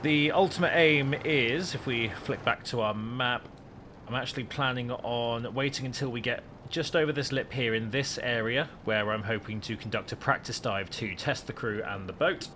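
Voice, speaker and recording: A man's voice: medium pitch, moderate pace, sharp tone, a little hiss or hum. A man, hosting and commentating on a game playthrough. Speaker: host of a game playthrough channel.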